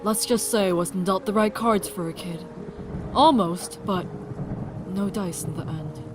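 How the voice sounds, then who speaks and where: A woman's voice: medium pitch, unhurried, over music, close to an everyday voice. A woman playing a wry, motherly survivor in an audio drama, talking in a casual, resigned way. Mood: wistful, resigned.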